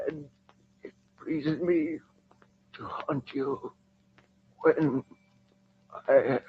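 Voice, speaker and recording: A man's voice: fairly high pitch, steady tone, very slow, slight background noise. Proud, vengeful young nobleman, muffled old radio. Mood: dying, menacing. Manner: theatrical, formal, ominous. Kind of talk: old-time radio drama acting.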